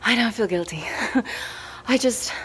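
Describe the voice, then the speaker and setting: A woman's voice: a little high, takes it slowly, sharp tone, slight background noise. A woman playing a wry, flirtatious but cautious married woman in an audio drama, speaking intimately.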